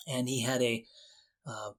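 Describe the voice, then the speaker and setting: A man co-hosting a podcast, talking casually and explaining things in an informal, halting way. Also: mid-range voice, level tone. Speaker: podcast co-host.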